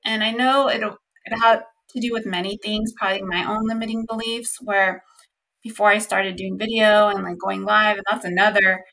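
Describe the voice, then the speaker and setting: Young woman, podcast host, bright slightly squeaky voice, chatty and earnest solo talk. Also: fairly even intonation, normal speed, vocal fry, rough recording. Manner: chatty, earnest, lots of fillers like "um" and "like".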